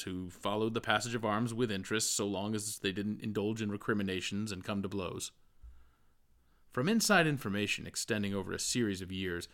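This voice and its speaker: Man, audiobook reader, low pitch, bright forceful tone, literary narration.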